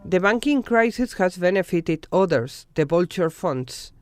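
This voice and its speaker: A documentary narrator reading a scripted news report in a measured, formal way from a studio voice-over. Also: medium pitch, expressive, soft background music.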